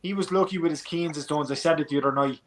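A man's voice: fairly high pitch, quick pace, a little hiss or hum. An Irish man giving his opinions on football in a casual post-match chat over a video call, passionate and repeating his points for emphasis. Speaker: football pundit.

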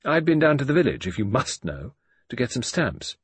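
A man voicing a defiant twenty-one-year-old woman who speaks in a sharp, indignant, curt way. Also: medium pitch, big pitch swings, moderate pace, husky, dry recording, voice pushed up.

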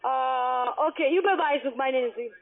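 A non-native German speaker, a woman with a foreign accent, giving a halting phone sales pitch in simple phrases with check-back questions. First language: not German. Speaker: telephone sales agent for an investment scheme. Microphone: telephone. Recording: tinny, narrow phone line.

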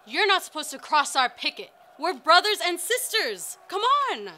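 A woman's voice: sits high, sing-song, normal speed, pushed and bright, exaggerated voice. An actress playing a fervent woman strike organizer, speaking emphatically and earnestly in a dramatized oral history.

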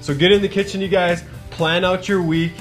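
A man in his thirties hosting a cooking demonstration in a studio kitchen, chatty and energetic, talking straight to the viewers. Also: high-pitched, moderate pace. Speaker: cooking show host. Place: home-style studio kitchen, indoors. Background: background music, sizzling pan.

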